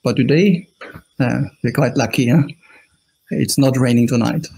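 A man's voice: mid-range voice, measured pace, vocal fry, darkish tone, slightly rough, low-quality recording. A middle-aged man with an Austrian accent, a monk giving a friendly dharma talk over a video call, joking and asking his online audience questions. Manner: jokey, chuckling asides, talks to the online audience. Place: small indoor room.

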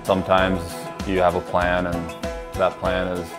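Low, flat-voiced young Midwestern man. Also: a little slow. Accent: American Upper Midwest. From rural southern Minnesota, USA. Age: young adult. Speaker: college hockey player. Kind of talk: casual documentary interview. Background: guitar music bed.

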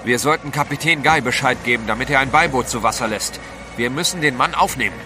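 An actor playing a composed, inquisitive gentleman adventurer, polite but direct, in a radio drama. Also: medium pitch, fast talker, music in the background, natural voice. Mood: concerned.

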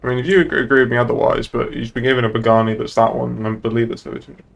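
A man's voice: mid-range voice, flat delivery, very fast, gravelly. A young British man chatting casually and informally while roleplaying as a moderator in an online game. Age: young adult. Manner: informal, sweary. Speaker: streamer, server moderator.

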